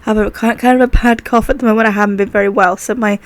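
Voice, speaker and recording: Croaky woman narrating gameplay. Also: medium pitch, fairly even intonation, very fast. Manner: chatty, upbeat, thinking aloud while playing. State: croaky sore throat. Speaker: gaming channel host. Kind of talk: casual gameplay commentary.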